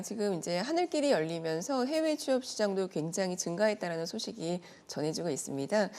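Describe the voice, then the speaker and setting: A woman giving an expert briefing on a news programme. Her voice is soft-edged and mellow at a middle pitch, with lively rises and falls as she lays out information politely, one point after another. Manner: polite, explanatory, organized point by point. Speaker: expert guest commentator. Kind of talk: prepared explanatory news segment.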